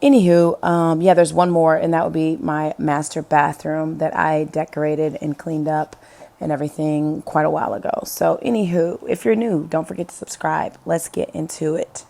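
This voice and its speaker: A woman in her thirties with a hoarse, gravelly voice from being sick, chatting casually over her home decorating video and asking viewers what they think. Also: sits low, steady tone, normal speed, mellow. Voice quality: gravelly, husky. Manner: chatty, rambling, asks viewers questions. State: hoarse from illness.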